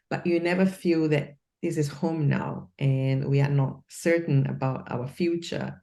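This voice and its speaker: Slow, low-voiced woman mentor, meandering. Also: dark tone. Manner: meandering questions with many fillers and restarts. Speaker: career mentor and host. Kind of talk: interview questions.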